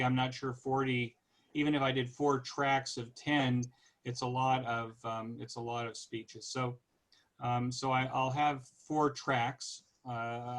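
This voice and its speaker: A man over fifty with a North American accent, giving an informal explanatory presentation in a halting way, pausing to search for words. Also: medium pitch, a bit bright. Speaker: podcast host giving a club presentation.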